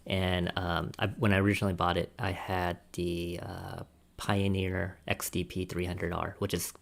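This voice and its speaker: A man's voice: sits low, flat delivery, normal speed, gravelly. A man on a podcast panel, casually recounting his own experience in conversation. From United States. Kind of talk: casual personal storytelling in a discussion.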